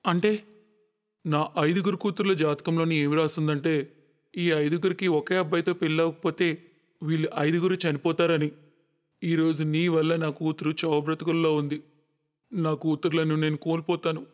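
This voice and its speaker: A man's voice: fairly high pitch, little pitch movement, moderate pace, natural voice. Dramatic man in heavy reverb, acted cartoon dialogue. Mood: accusing, anguished. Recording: long reverberant echo.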